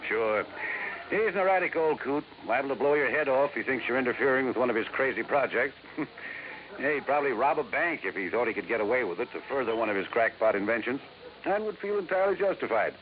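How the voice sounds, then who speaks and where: Male actor, confident folksy federal agent for vintage radio drama; animated, emphatic talk on a narrow, slightly noisy recording. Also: medium pitch, fairly quick, lightly acted. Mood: wry, emphatic. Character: assured, folksy male FBI agent, an old friend. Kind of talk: 1950s radio drama acting.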